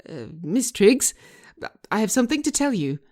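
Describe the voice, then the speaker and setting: Woman playing a nervous man, stammering, urgent, pleading, pitched high, swooping intonation. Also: very animated, normal speed, darkish tone. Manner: hesitant, stuttering, urgent. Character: flustered, stammering male reality show contestant, pleading. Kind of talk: scripted screenplay reading.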